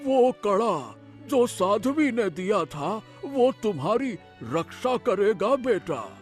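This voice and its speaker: A man's voice: sits high, very animated, takes it slowly, soft background music, exaggerated voice. A man voicing a murdered father's spirit in frog form, earnest and dramatic, in a cartoon story. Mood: earnest, explaining.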